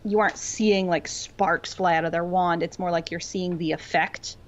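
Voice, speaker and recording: Low-voiced woman, gushing podcast chatter. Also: fairly even intonation, normal speed, muffled recording. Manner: gushing, informal, frequent "like". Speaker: podcast co-host. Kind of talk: casual chat.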